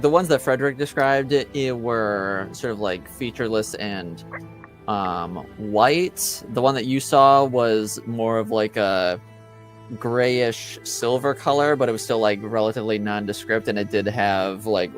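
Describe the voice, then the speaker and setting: A man acting as game master, explaining things hesitantly with many fillers. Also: lowish voice. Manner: hesitant, lots of ums and likes. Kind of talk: narrating a tabletop game.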